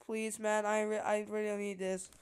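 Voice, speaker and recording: A woman chatting casually while playing a strategy game with a friend, excitable and joking, often tripping over her words. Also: medium pitch, moderate pace, clear, resonant tone. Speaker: gamer streaming with a friend. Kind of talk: casual gaming chat.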